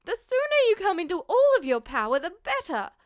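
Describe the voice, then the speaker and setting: A woman's voice: very high-pitched, sing-song, brisk, pitched above the performer's own voice. A woman voicing a distraught, exasperated mother in a fan fiction audiobook, scolding with emphatic, reproachful delivery. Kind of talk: acted dialogue in an audiobook-style fan fiction reading.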